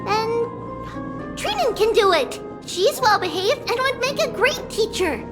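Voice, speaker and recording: An excitable little girl from an anime-style game, speaks in a very high, childlike voice. She is eager and boastful, and takes her time between words. Music plays clearly behind her. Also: unhurried, big-room sound, exaggerated voice. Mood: excited, eager. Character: hyper, boastful little girl, a holy maiden.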